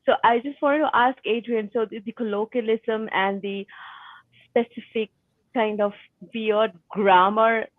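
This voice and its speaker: A woman asking an author a question in a halting way, searching for words, over a tinny phone-like line. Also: fairly high pitch, steady tone, no echo. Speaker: book discussion guest asking the author questions. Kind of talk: casual discussion question.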